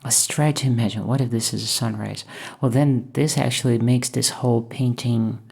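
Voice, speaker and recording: Gravelly-voiced woman musing. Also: a bass voice, steady tone, a little mellow. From Moscow, Russia. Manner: thoughtful, hesitant, musing with many pauses. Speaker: painter and channel host. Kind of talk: reflective voice-over while painting.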